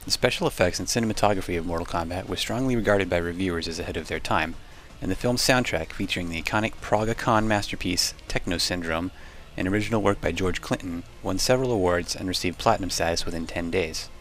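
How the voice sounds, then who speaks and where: A man's voice: low-pitched, fast, fairly bright tone. A man reading scripted documentary narration over background music. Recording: music under the voice.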